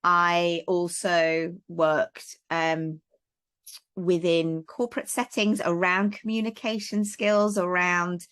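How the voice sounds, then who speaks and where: Middle-aged Welsh-raised woman guest, lower voice, rambling and friendly on a call. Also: flat delivery, slow pace, a bit bright, clean tone. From Wales. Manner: chatty, many fillers and restarts. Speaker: guest, parenting coach. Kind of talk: casual interview chat.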